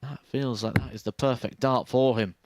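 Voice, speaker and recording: A man giving live darts commentary in a measured, explanatory way. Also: medium pitch, fairly even intonation, background noise.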